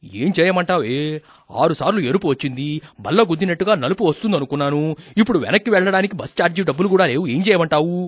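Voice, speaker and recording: A man doing a gruff old village uncle. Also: fairly high pitch, lively intonation, dry recording, studio quality. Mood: exasperated. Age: older. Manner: gruff, curt, quick to take offence. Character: grumpy retired village official, old man.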